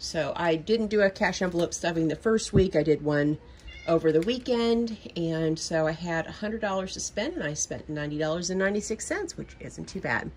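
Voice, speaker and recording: A middle-aged woman with a Southern drawl talks her way through a shopping haul in a rambling, chatty manner, full of ums. Her voice sits a little low. Faint hiss hangs under the kitchen recording. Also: expressive, moderate pace, a little mellow. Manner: chatty, rambling, many ums, baby talk to her cat. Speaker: budgeting vlogger. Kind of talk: casual haul narration. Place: home kitchen.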